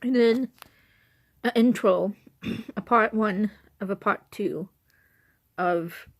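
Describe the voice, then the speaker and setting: A young woman from Illinois with an Illinois accent, her voice hoarse and her nose stuffy. She talks casually to a handheld camera from her couch at home, rambling and circling back over her own sentences. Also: mid-range voice, takes it slowly. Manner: rambling, repeats and restarts herself. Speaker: vlogger.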